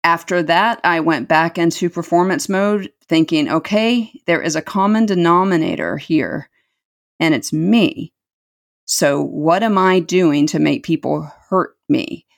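A woman's voice: on the low side, lively intonation, measured pace, creaky. A middle-aged woman telling her life story as an interview guest, earnest and reflective, repeating words for emphasis.

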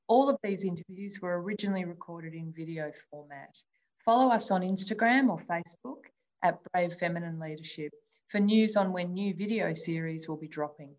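A woman hosting a leadership podcast, reading her intro and asking earnest, enthusiastic questions. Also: lowish voice. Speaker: podcast host and interviewer. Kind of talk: scripted intro then interview questions. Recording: dull, lo-fi sound.

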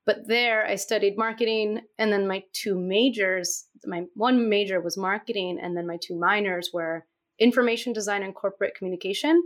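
A woman's voice: medium pitch, fairly even intonation, normal speed. A young woman from Turkey, an experience designer, chatting eagerly and laughing easily as a guest co-host in a casual interview.

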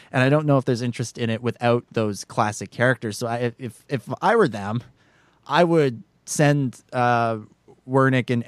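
A man's voice: on the low side, no room sound. A man co-hosting a casual film discussion, hesitantly repeating and rephrasing his words as he thinks aloud. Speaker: podcast co-host.

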